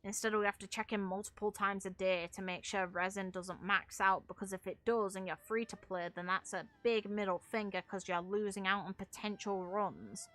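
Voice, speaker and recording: A woman's voice: mid-range voice, fairly even intonation, normal speed, few pauses, a bit bright. A woman streamer giving casual, opinionated gaming commentary in a blunt, emphatic, sweary way. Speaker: game streamer and video creator.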